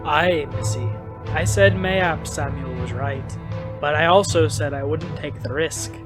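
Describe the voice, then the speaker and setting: Male reader as a rustic, defensive friar over soft music. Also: on the high side, a little slow, voice pushed up, lightly acted. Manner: rustic, folksy speech.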